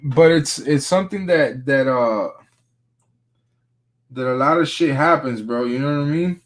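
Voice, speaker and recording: A man's voice: medium pitch, measured pace. Laid-back man talking sneakers. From Miami, Florida. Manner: laid-back, slangy, says "bro" often. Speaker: sneaker vlogger. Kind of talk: casual chat.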